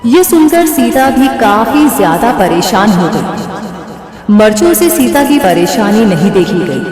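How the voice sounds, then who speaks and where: Female storyteller for kids' cartoon: slow, higher-pitched scripted narration, echoey with background music and crowd noise. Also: big pitch swings. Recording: echoey reverb, music and crowd sounds behind.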